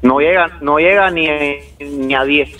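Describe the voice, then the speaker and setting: A man calmly explaining procedures on a call, easygoing, laughing often and repeating himself. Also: fairly high pitch, unhurried, far from the mic, a crowd in the background. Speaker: phone-in guest. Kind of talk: casual explanatory chat.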